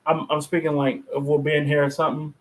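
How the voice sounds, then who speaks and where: A man's voice: on the high side, flat delivery, quick pace, very noisy. A man co-hosting a podcast in casual, conversational chat. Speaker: podcast co-host.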